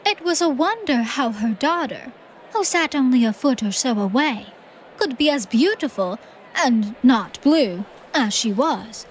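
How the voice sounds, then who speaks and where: A woman reading scripted story narration for a theatrical audiobook in an expressive, measured manner. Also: sits high, a little hiss or hum, rough recording.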